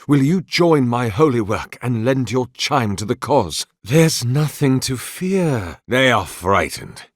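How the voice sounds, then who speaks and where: A man's voice: medium pitch, no echo, clean studio sound. A man playing a devout, grandiose male oracle in a video game, speaking in a solemn, florid, sermonizing way as a zealous, mournful servant of a god. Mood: solemn, welcoming. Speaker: video game character voice actor. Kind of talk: theatrical scripted game dialogue.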